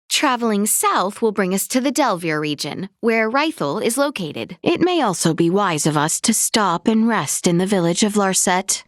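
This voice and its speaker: A young royal adventurer speaks in a fairly high, very melodic voice. She is earnest, dignified and unfailingly polite, with formal courtly wording suited to a fantasy video game. The sound is close and dry. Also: no room sound. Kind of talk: scripted video game voice acting.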